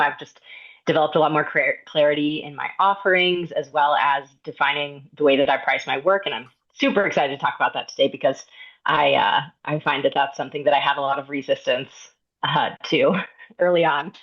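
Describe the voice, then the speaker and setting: A woman, an independent designer, introducing herself earnestly with frequent pauses on a video call. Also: deep voice, steady tone, lo-fi sound. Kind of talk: prepared self-introduction.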